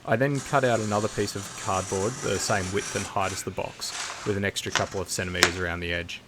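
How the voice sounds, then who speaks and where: A man narrating a scripted how-to video in a measured, matter-of-fact way. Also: fairly low pitch, fairly even intonation, noisy background.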